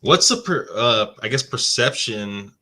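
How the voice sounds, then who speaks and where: A man's voice: medium pitch, expressive, measured pace, pushed and bright. A man hosting a podcast, asking rambling, hesitant questions. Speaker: podcast host. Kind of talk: interview questions.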